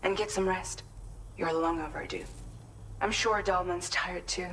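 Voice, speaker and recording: Female crewmate, lowish voice, caring, gently insistent, game cutscene, faint noise. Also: fairly even intonation, unhurried, vocal fry, distant mic. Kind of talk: video game cutscene acting.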